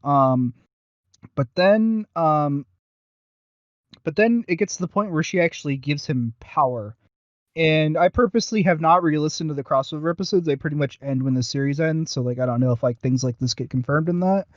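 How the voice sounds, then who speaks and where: A man co-hosting a podcast with casual, halting, rambling commentary and some swearing. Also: fairly high pitch, dry recording. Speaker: podcast co-host. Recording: dull lo-fi voice recording.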